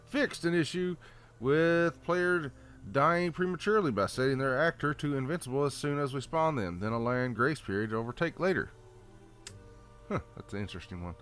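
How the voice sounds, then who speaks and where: A man with a Southern American accent reads update notes aloud in a folksy, halting way, adding off-the-cuff comments. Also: mid-range voice, a bit bright. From Southern United States. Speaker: gaming channel host.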